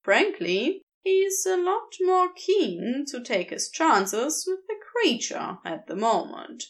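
Slow, expressive woman storyteller. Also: sits high. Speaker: podfic reader. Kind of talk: scripted narration of a story.

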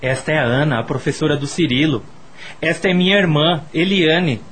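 A man's voice: a little high, fairly even intonation, moderate pace, fairly bright tone, slight background noise, lightly acted. A man playing a friendly, polite young gardener's son in a Brazilian radio drama.

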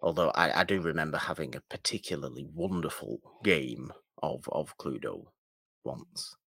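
A man's voice: extremely low pitch, expressive, normal speed, gravelly, a bit bright, slightly rough, dry recording, clean studio sound. A man with a British accent co-hosting a film podcast in casual chat, a bit mock-pedantic and hedging as he talks. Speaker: podcast co-host.

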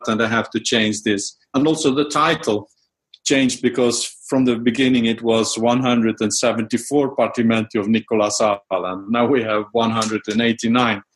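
A middle-aged man whose first language is Dutch, answering interview questions about his research with many fillers and restarts. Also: medium pitch. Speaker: music researcher, interview guest.

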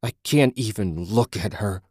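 A man voicing a furious, grieving woman in an audiobook, vengeful, forceful and profane as the character confronts someone. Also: lowish voice, a little slow, breathy edge, dry recording, clean studio sound. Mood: furious, scornful.

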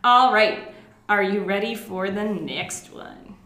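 A woman's voice: medium pitch, slow pace, a crowd in the background. A woman co-hosting a comedy podcast, chatting casually and reading in a playful mock-dramatic way. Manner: playful, theatrical. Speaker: podcast co-host. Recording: echoey room, loud music behind.

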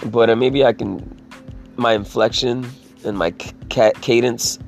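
Raspy, gravelly man rambling casually. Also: mid-range voice, fairly even intonation, a little slow, faint music behind. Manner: profane, filler-heavy, restarts sentences.

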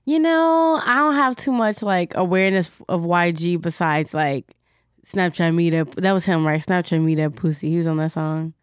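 A woman co-hosting a podcast in a relaxed, easygoing chat. Her voice is smooth and clear, and she talks things through thoughtfully. The sound is close and dry. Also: fairly low pitch, moderate pace, no room sound. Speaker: podcast co-host. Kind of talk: casual chat.

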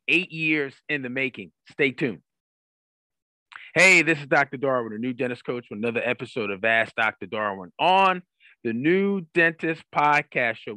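A man's voice: medium pitch, moderate pace, pushed and bright, dry recording, poor audio. A man hosting a career coaching podcast in an upbeat interviewing style, emphatic and given to repeating phrases.

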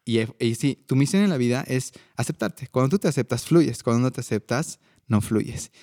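A man's voice: medium pitch, moderate pace, no echo. Chatty man, dry studio sound. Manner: animated, laughing, chatty. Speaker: podcast host and coach. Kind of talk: casual podcast chat.

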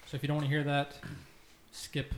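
A man's voice: a little high, completely flat delivery, a little fast. A man hosting a casual group podcast with playful, mock-grandiose banter. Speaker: podcast host. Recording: echoey room, faint noise.

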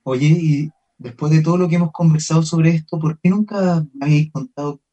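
Radio drama role: male actor for curious, thoughtful young man, Chilean accent, warm rounded voice, questioning manner. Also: a little high, a little fast, lo-fi sound, lightly acted. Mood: sympathetic. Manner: questioning, earnest.

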